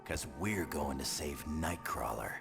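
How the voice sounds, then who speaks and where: Very deep, gravelly tough hero. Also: male voice, expressive, unhurried, a bit bright, big-room sound, faint music behind, exaggerated voice. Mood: surly. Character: gruff, tough, surly male superhero brawler.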